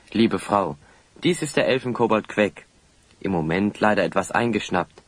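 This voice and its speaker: Lively, slightly husky young hero. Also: male voice, on the low side, dry recording. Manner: reasoning, gently chiding. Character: sensible, friendly teenage boy hero. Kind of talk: radio play acting.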